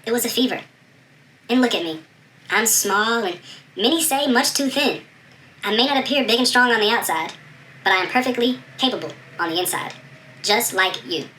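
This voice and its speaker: A high, bright voice of a gentle little stepmother, put on by a man. The words come hushed, quick and earnest, in a reassuring storybook manner. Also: lively intonation, a little hiss or hum, full character voice, poor audio. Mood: gentle reassurance. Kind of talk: storybook character voice.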